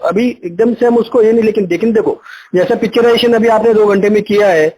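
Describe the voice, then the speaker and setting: A man's voice: high voice, steady tone, quick pace, darkish tone, husky. A man speaking as a parent in an informal phone conversation, hesitant and thinking things over aloud. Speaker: parent being pitched by a salesperson. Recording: noisy phone call recording.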